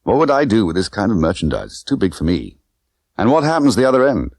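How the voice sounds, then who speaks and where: Guy reading as smooth british spy man, easygoing, few words, low voice, bit teasing, audiobook. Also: wildly swooping pitch, quick pace, a little mellow, dry recording, clean studio sound, heavily acted. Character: cool, easygoing British male secret agent.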